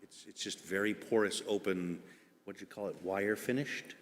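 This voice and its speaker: A man giving informal public testimony as an applicant, explaining earnestly and haltingly with pauses. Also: fairly low pitch, steady tone. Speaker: applicant, homeowner at a public hearing. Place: indoor public meeting room. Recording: echoey meeting hall with background noise.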